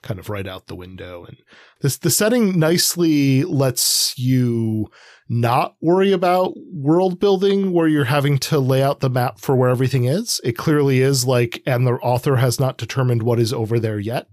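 A man's voice: medium pitch, normal speed, a little mellow, no room sound, clean studio sound. A man co-hosting a conversational book discussion, thinking aloud in loosely structured, hedging sentences. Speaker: podcast co-host. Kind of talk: conversational literary analysis.

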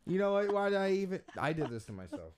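A man's voice: high voice, sing-song, fast, darkish tone, clear, resonant tone. A man hosting a casual podcast interview, joking and rambling with his guest in a self-deprecating way. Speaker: podcast host.

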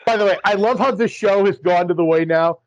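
High-voiced wry man, dull sound. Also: brisk, no room sound. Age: 40s. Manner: wry, joking. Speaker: podcast co-host. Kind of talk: casual podcast chat.

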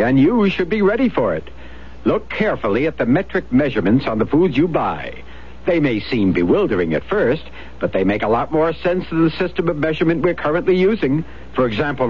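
An American man reading a scripted public service announcement in a clear, instructive way. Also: medium pitch. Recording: dull, hissy 1970s radio recording.

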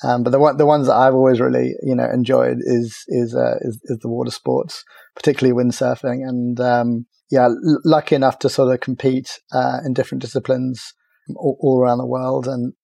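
A middle-aged man, chatting as a podcast guest in a relaxed, halting way full of restarts and fillers. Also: medium pitch, creaky, no echo. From Ireland. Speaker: podcast guest, agency founder. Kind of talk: casual interview chat.

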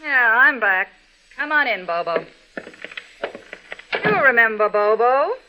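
An actress playing a tough, bossy blonde gangster's moll in an old radio drama, with slangy, menacing talk. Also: female voice, fairly high pitch, animated, takes it slowly, pushed and bright, slight background noise, full character voice, low-quality recording. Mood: brisk, bossy. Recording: vintage radio recording.

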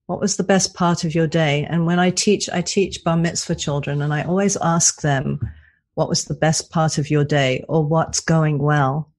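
A woman author and cantor speaking in a radio interview, soft and soothing, with hesitant restarts. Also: deep voice, steady tone, normal speed. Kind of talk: casual interview talk.

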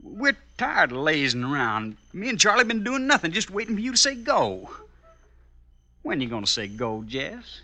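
An actor playing an eager, nervous young outlaw brother in a radio western, with a husky American voice and folksy, insistent talk. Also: male voice, fairly high pitch, huge pitch swings, moderate pace, sharp tone, exaggerated voice. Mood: eager, restless. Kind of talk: old-time radio western acting.

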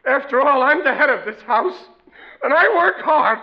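A man's voice: very high voice, lively intonation, moderate pace. An American actor playing a blustering, wheedling family father in a classic radio comedy, melodramatic and exasperated. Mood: indignant. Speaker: sitcom lead actor. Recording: dull, noisy old radio broadcast.

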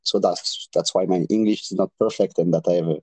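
A young man with a French accent talks as a podcast guest. His voice sits low and mellow, and the sound is dry. He hesitates often and restarts, searching for English words. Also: fairly even intonation, fairly quick, slightly rough, no room sound. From Democratic Republic of Congo. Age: 20s. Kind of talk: casual interview.

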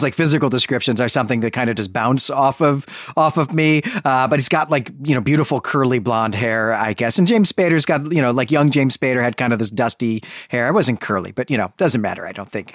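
A man's voice: mid-range voice, animated, a little fast, hardly any pauses, fairly bright tone, no room sound, studio quality. A man hosting a podcast in casual discussion, talking in a chatty, enthusiastic, rambling way. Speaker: podcast host.

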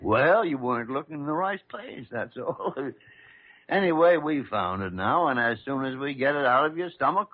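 A man's voice: medium pitch, expressive, moderate pace, lightly acted. A man playing a crusty, sardonic senior American doctor who blusters and repeats himself, in old radio drama style. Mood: matter-of-fact. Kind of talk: 1940s radio drama acting. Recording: tinny old radio recording.

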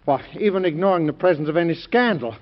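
A fumbling, deferential delegate, slightly high voice, old radio drama. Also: male voice, lively intonation. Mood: hesitant. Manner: hesitant, halting, deferential. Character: nervous male political delegate, deferential. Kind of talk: 1940s radio acting. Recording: dull old radio recording.

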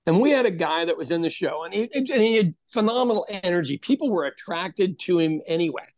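A middle-aged man who tells stories in casual podcast talk. He drops into the voices of the people he quotes, and he swings his pitch up and down as he goes. His voice is recorded dry and close. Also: fairly quick, dry recording. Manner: animated storyteller, acts out quoted voices. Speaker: podcast host. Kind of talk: casual conversation.

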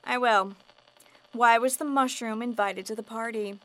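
A woman playing a doctor with a brisk, dry manner, who wraps up a session in a radio drama. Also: a little high, steady tone, fairly bright tone, rough recording.

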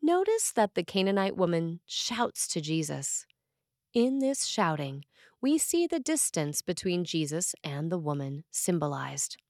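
A woman priest reading a scripted sermon in a measured, earnest way with dramatic emphasis on key phrases. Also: fairly low pitch, very animated, a little mellow, no echo, clean studio sound.

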